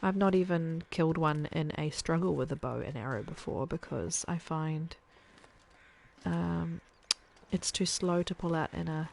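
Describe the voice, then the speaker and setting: A woman streaming a survival game, thinking aloud in a chatty way with soft muttered asides. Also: low-pitched, unhurried, vocal fry, slight background noise. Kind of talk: casual gameplay commentary.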